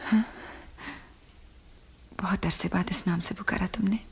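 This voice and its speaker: A woman playing a concerned, frank wife in a radio drama, with a soft, husky, breathy voice. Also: on the low side, fairly even intonation, unhurried, exaggerated voice. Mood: concerned, tender. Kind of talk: Hindi radio drama acting.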